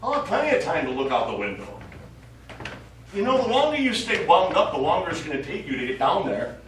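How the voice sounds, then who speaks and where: An actor playing a nonchalant, wry astronaut in a stage play. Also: male voice, fairly high pitch, big pitch swings, a little fast, a bit bright. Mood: wry, testy. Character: nonchalant, wry male astronaut tending the ship. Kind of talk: stage acting. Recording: echoey distant stage recording, faint noise.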